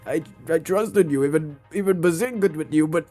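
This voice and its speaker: A man streaming a visual novel, reading dialogue aloud and reacting in a theatrical, halting way. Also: on the high side, dark tone, soft background music. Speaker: gaming streamer.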